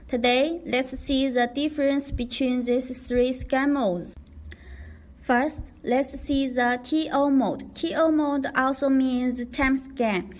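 High pitched lady reading out a how-to script, slow and careful. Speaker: product demonstrator. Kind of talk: scripted tutorial narration.

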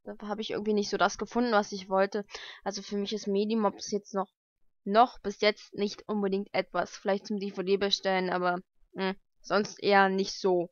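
A woman's voice: mid-range voice, little pitch movement, normal speed, no room sound. A young woman chatting casually and thinking aloud as she gives informal unboxing commentary at home. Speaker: vlogger unboxing mail-order books. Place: lived-in room at home, indoors. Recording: dull lo-fi home recording.

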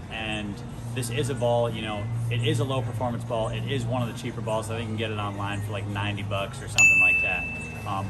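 A young man in his twenties, a bowling channel host, talking casually to camera with a relaxed, chatty manner in a big indoor bowling center. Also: fairly low pitch. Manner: relaxed, chatty, lots of fillers. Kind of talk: casual gear talk to camera.

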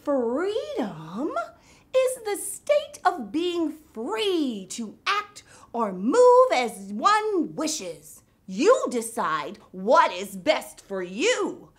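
Casting: a kids' show word teacher, zany and excitable, high voice with wild swoops. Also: female voice, extremely slow, full character voice. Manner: exaggerated, theatrical, playful.

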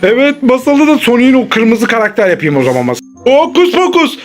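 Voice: medium pitch, very animated, fairly quick. A host playing with children in an improvised make-believe game, exclaiming, teasing and repeating phrases. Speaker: host of a family play video who grants wishes. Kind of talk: playful improvised play with children. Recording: loud background music. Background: music.

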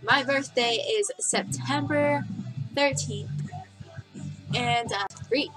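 A woman's voice: high-pitched, slow, a bit bright, faint music behind, poor audio. Chatty young woman rambling into a webcam. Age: 20s. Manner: chatty, giggly, rambling with many fillers. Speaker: vlogger. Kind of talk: casual facts-about-me vlog. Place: bedroom, indoors. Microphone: webcam at desk distance.

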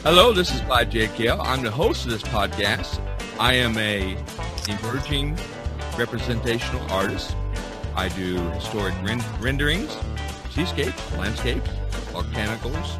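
A man hosting an artists' podcast over a video call, rambling and halting with many fillers. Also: lowish voice. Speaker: podcast host. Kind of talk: casual video-call chat.

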